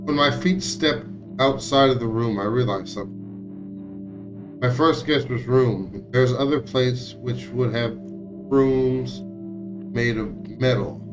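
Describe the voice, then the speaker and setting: A man streaming a visual novel, reading its text aloud in a somewhat halting way with occasional stumbles. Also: mid-range voice, music in the background. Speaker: game streamer.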